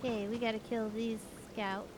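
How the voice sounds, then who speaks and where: A woman's voice: on the high side, flat delivery, normal speed. A woman chatting casually as she plays through a game on a livestream with a co-host. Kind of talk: casual chat while playing a game. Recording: livestream with clear background noise, game ambience.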